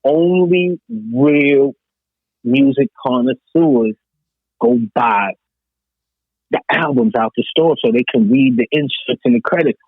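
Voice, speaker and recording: A man's voice: medium pitch, takes it slowly, dry recording. A man on a thin phone-like line, an emphatic, slangy guest who jumps into a casual debate. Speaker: podcast guest.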